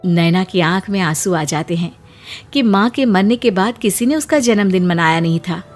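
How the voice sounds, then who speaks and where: A woman's voice: lowish voice, animated, moderate pace, faint music behind. A woman narrating a scripted story.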